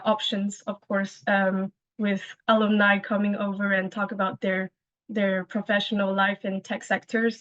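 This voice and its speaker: A woman's voice: medium pitch, no echo. Hesitant young woman on a dull call. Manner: hesitant, many fillers. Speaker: master's student. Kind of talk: casual webinar talk. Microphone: laptop mic on video call.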